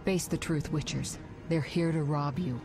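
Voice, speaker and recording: An actress playing an impatient, assertive sorceress in a fantasy video game, speaking bluntly. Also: female voice, low voice, steady tone, normal speed, music in the background. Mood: insistent. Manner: blunt, reproachful.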